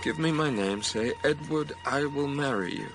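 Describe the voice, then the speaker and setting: An actor playing a brooding, ardent master of the house in a period radio drama, urgent and commanding. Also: male voice, a little high, moderate pace, over music, lightly acted. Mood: ardent, desperate.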